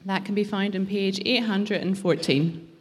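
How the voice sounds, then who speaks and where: A woman reading a scripture passage aloud in church, steady and measured. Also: mid-range voice, husky. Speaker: scripture reader.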